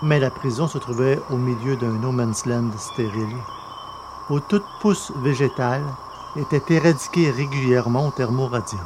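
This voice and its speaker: A man reading as a podcast host and narrator, with measured, articulate, scripted delivery. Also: mid-range voice, background noise. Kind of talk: scripted podcast presentation and audio novel narration.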